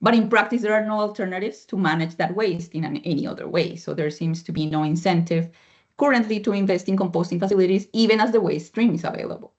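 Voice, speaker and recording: Over a dull, lo-fi video call line comes a moderator of mid-range pitch, hesitant and full of small fillers. They frame long policy questions for the panel in a steady conversational way. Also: fast talker. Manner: hesitant, many fillers and restarts. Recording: muffled lo-fi video call audio.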